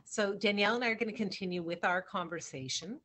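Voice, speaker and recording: A woman's voice: mid-range voice, fast, fairly bright tone. A woman in her thirties co-hosting an online discussion from a room at home, over a video call with some faint noise. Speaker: panel co-host. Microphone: video call microphone.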